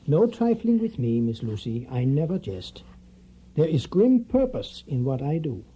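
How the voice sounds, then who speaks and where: An actor playing a stern, commanding male professor in an audio drama, speaking in a solemn, formal, deliberate way. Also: a little high, sing-song, mellow, a little hiss or hum. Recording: dull, muffled audio drama recording.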